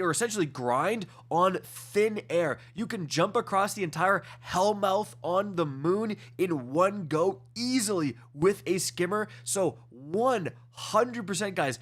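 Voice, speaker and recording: A man with a congested nose giving a casual, enthusiastic review of items in a video game, often calling out to viewers as guys. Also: high-pitched. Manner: quick, enthusiastic, fillers like guys and uh. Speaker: gaming video host. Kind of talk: casual gameplay review commentary.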